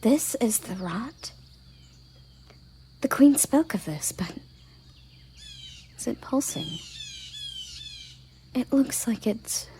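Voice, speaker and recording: A woman playing a proud, commanding elven guard captain in a scripted roleplay audio drama, soft and often half-whispered, with formal, deliberate phrasing. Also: on the high side, a little hiss or hum, full character voice. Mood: shocked, demanding.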